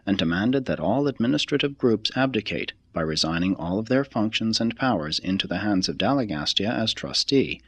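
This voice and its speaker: A man reads a religious text aloud as scripted narration, in a husky voice with a measured, formal and deliberate delivery. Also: fairly low pitch, a bit bright, no room sound. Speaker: audiobook reader.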